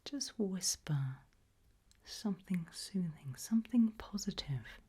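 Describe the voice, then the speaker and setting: A British woman performing an ASMR roleplay in a breathy, gentle whisper with deliberate delivery. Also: fairly low pitch, animated.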